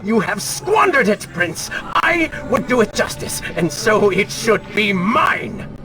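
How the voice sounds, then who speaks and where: Game villain: a sneering, theatrical dark spirit, extremely varied pitch, loud music underneath. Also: male voice, big pitch swings, slow pace, full character voice. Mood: coveting, scornful. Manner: mocking, theatrical, gloating. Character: taunting, arrogant dark spirit, a prince's wicked other self. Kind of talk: dramatic video game acting.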